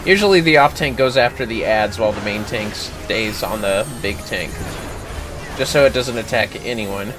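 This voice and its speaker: A man's voice: lowish voice, moderate pace, poor audio. A man hosting a livestream, casually commenting on a game and explaining how to play it. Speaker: livestream host, gamer. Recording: game music behind the voice. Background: game music.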